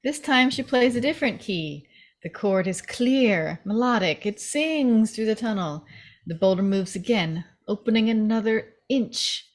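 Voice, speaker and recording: A woman's voice: fairly high pitch, lively intonation, unhurried. A woman reading stage directions as narrator in a scripted table read.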